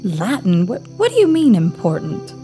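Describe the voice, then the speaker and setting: An actress playing a sensible, caring female companion to a scholar in an audio drama, expressive and gently chiding. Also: mid-range voice, moderate pace, faint music behind. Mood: exasperated.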